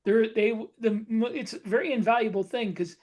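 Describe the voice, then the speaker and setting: A middle-aged man co-hosting a writing podcast, talking in a relaxed, rambling way. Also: sits high, normal speed. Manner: relaxed, rambling, self-correcting. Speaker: podcast co-host, author. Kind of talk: casual panel chat.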